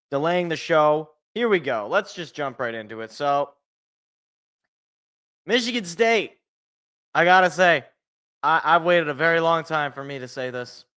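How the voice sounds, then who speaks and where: American male sports host, bright but congested and a little raspy, rambling and jokey. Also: on the high side. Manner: rambling, jokey, repeats phrases for emphasis. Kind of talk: casual solo sports talk. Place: indoors at home.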